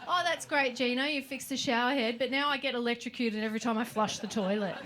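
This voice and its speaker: A woman's voice: on the high side, quick pace. A woman in her forties with an Australian accent doing a brash, sweary, self-mocking stand-up comedy set on a club stage. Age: 40s. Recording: live club audio, audience noise. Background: audience laughter.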